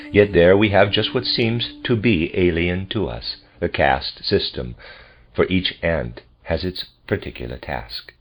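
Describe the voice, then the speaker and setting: A man reading a translated lecture aloud like an audiobook, with measured, formal and deliberate phrasing. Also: deep voice. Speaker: lecture reader. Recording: dull lo-fi recording with music behind. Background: music.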